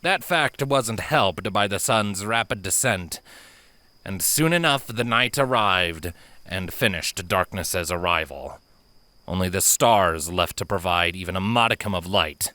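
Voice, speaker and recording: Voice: sits low, sharp tone, no echo. A narrator reading fantasy fan fiction as a dramatic, scripted audiobook.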